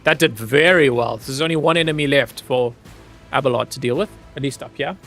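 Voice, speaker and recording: A man's voice: medium pitch, fairly quick, a bit bright, faint music behind. A man from Zimbabwe streaming a game, chatting easily with his viewers and laughing often while he plays. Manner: easygoing, chatty, laughs often, talks to chat. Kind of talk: casual gameplay commentary.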